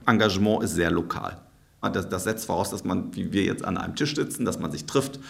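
A man with a very deep voice and a strongly gravelly, creaky edge explains his subject. He talks in a methodical way and lists examples one after another. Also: fairly quick, over music. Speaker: university researcher. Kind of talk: expert interview.

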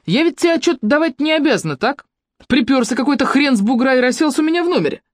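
A reader putting on a coarse, yelling woman. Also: high voice, lively intonation, quick pace, no echo, studio quality, voice pushed up. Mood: furious. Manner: rude, shouting, coarse. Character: rough, hot-tempered young woman.

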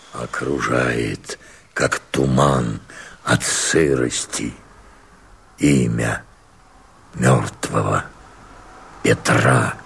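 An older man, an actor playing a bitter, defiant old Cossack peasant, declaiming verse slowly and weightily in a radio play with a husky voice. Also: rumbling low, full character voice.

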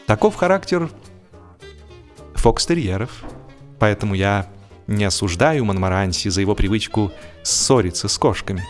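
A man's voice: lowish voice, animated, normal speed, pauses often. A man narrating a humorous story in a theatrical, mock-solemn, ironic manner. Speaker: audiobook narrator. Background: music.

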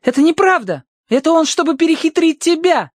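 A man acts out an earnest young child in an audiobook space adventure. The voice is high and lively in pitch, plaintive and heartfelt, recorded very dry. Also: a little slow, no room sound, full character voice. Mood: indignant.

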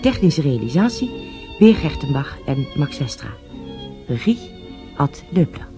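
A man announcing the end credits of a radio drama in a measured, formal manner. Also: fairly high pitch, sing-song, creaky, music in the background.

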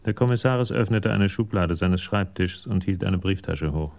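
A man's voice: sits low, normal speed, vocal fry, a little mellow. A man giving sober scripted narration as the narrator of a German radio crime story.

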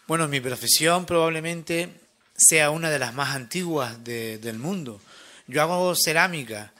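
A middle-aged man, a craftsman guest answering questions in a relaxed, storytelling way on local radio. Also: fairly high pitch, unhurried. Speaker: ceramics artisan guest. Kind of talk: casual radio interview answers. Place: radio studio.

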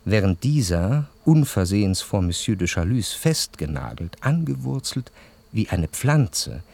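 A refined, reflective memoirist narrates a literary radio play. His voice sits on the low side and is recorded very dry. He phrases long sentences in a measured, deliberate way, as a careful observer. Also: very animated, creaky, a little mellow, no room sound, exaggerated voice. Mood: reflective, intent.

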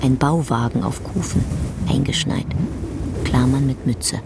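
A narrator with a creaky voice reads stage directions for a radio drama in clipped, scripted phrases. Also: medium pitch, unhurried, very noisy.

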